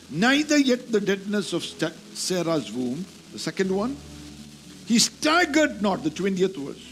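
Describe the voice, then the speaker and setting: A man preaching a sermon, emphatic and repeating key phrases as he teaches from scripture. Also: high-pitched. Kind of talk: sermon with scripture reading and call-and-response.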